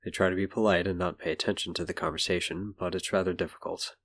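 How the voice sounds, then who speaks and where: A man's voice: low voice, fairly even intonation, fast, a bit bright. A man reading scripted story narration for an audio drama. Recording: music behind.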